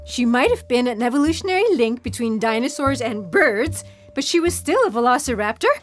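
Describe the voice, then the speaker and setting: A woman's voice: fairly high pitch, fairly quick, faint music behind, natural voice. A woman playing an eager, caring yet skeptical scientist in a sci-fi audio drama. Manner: expressive, eager.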